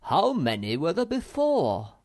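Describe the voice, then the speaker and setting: A man voicing an earnest young male troll who leads his friends, in a raised, brighter voice. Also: mid-range voice, wildly swooping pitch, a little slow, pitched above the performer's own voice, heavily acted.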